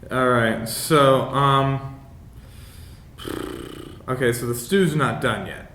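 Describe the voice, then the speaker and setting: A man's voice: slow pace, fairly bright tone. A man chatting casually as a player in a tabletop roleplaying game, hesitant and often rephrasing. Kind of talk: casual improvised roleplay chat. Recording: roomy echo, faint background noise, rumble.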